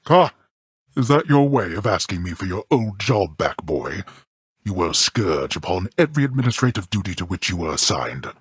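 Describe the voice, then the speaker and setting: A man's voice: low-pitched, big pitch swings, moderate pace, breathy edge, no echo, studio quality, exaggerated voice. A man voicing a stern, scornful god of the underworld for a video game, scolding imperiously in formal, archaic phrasing like a domineering father. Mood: indignant, stern.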